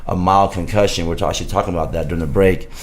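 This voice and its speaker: Relaxed deep-voiced guy, rambling storyteller, laughs easily. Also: rapid-fire. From California. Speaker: guest, former soccer goalkeeper. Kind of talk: casual chat.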